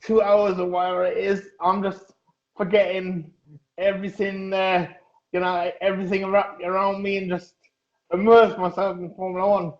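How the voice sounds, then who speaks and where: A man in his thirties from Germany, a guest in a casual interview, talking haltingly with many pauses and fillers. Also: sits high, flat delivery, a little mellow. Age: 30s. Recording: echoey, dull lo-fi audio.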